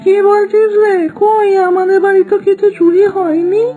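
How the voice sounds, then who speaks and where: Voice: very high-pitched, fairly even intonation, normal speed. A performer voicing a cheeky, whiny young village boy in a cartoon, with theatrical, exaggerated delivery. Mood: upset. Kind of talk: exaggerated Bengali cartoon voice acting. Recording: dull lo-fi sound, faint music.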